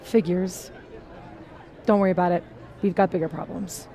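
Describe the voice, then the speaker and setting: Game role: teasing, candid young woman, played by an actress, soft dark tone, faint outdoor crowd. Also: fairly low pitch, steady tone, moderate pace, close to an everyday voice. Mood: worried. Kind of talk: video game dialogue acting.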